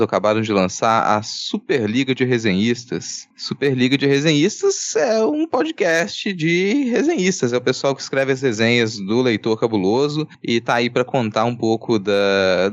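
An upbeat man co-hosts a podcast in casual chat, his voice mid-pitched. The sound is dry and close, with a dull, lo-fi tone. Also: dry recording. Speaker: podcast co-host.

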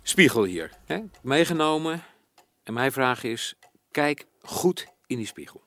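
A man's voice: mid-range voice, very animated, a little slow, fairly bright tone, no room sound. An actor playing a pushy, pseudo-therapeutic male team leader in a Dutch radio comedy, probing and instructing insistently.

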